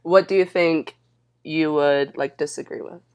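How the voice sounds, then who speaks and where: Young woman interviewer, lowish voice. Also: fairly even intonation, a little slow. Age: young adult. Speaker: student interviewer. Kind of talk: casual interview with scripted questions.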